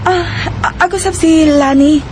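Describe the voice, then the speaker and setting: A woman's voice: extremely high pitch, steady tone, a little slow, darkish tone, a little hiss or hum. An actress playing an emotional, hesitant young girlfriend in a radio drama, with a Cebuano accent. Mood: shy.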